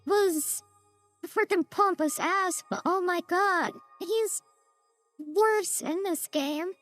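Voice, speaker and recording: A woman's voice: extremely high pitch, takes it slowly, fairly bright tone. A woman giving casual video game commentary, her voice run through a voice changer. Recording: roomy sound, faint game music behind. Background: faint game music.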